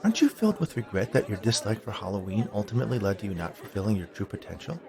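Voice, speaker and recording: A man's voice: on the low side, big pitch swings, rapid-fire, creaky, a crowd in the background. A man voicing a preachy, frustrated female spirit bee in a story, chiding and incredulous. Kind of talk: storybook character dialogue.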